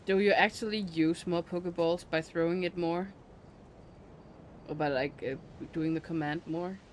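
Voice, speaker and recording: A woman's voice: lowish voice, steady tone, moderate pace, slight background noise. A woman game streamer making casual, offhand comments as she plays.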